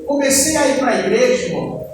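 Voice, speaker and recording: A man's voice: very high voice, fairly quick, noisy background. A man in his late twenties with a Brazilian accent, giving a personal testimony sermon as a church deacon in a conversational, storytelling way. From Ceará, northeastern Brazil. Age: late 20s. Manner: conversational storytelling, addressing the congregation. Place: church hall. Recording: echoey hall, distant mic, music behind.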